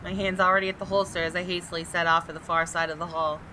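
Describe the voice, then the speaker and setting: Expressive lower-voiced woman reading a visual novel. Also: quick pace, slight background noise, low-quality recording. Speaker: gaming YouTuber, host. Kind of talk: dramatic read-aloud of a visual novel with casual commentary. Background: game music.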